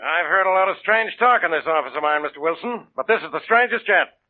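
A man's voice: a little high, lively intonation, quick pace, faint music behind, lightly acted. An actor playing a blunt, impatient male police inspector, in 1940s radio drama style. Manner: blunt and gruff. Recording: tinny old radio broadcast.

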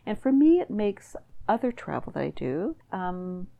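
A woman's voice: mid-range voice, very animated, unhurried, vocal fry, phone-quality sound. A middle-aged woman with a Canadian accent, speaking as an interview guest in a thoughtful, hesitant way with many restarts. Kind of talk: reflective conversational interview.

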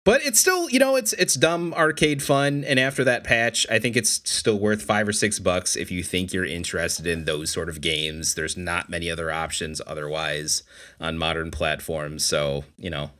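A man's voice: low-pitched, normal speed, pushed and bright. A man in a casual podcast chat about video games, talking in a rambling, reflective way. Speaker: podcast host.

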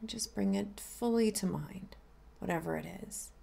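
A woman minister leading a guided meditation in a gentle, unhurried, inviting way. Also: mid-range voice, vocal fry.

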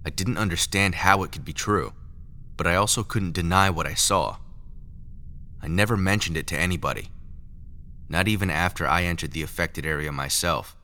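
A man playing a haunted lab scientist, narrating a scripted audio drama in a measured, brooding way. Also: deep voice, pushed and bright. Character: haunted male lab scientist recounting secret experiments. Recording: music under the voice. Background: music.

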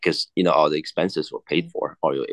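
A man in his thirties from China, a native Cantonese speaker, talking haltingly as an interview guest with many fillers and restarts. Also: extremely low pitch, fairly even intonation, dry recording. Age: 30s. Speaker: guest, watch collector. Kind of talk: casual interview chat.

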